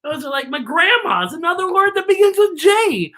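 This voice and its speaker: A woman's voice: extremely high pitch, big pitch swings, moderate pace, pushed and bright. A woman hosting a children's story time in a playful, theatrical, giggly manner, teaching letters. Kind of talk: playful children's show presenting with letter lessons and singing.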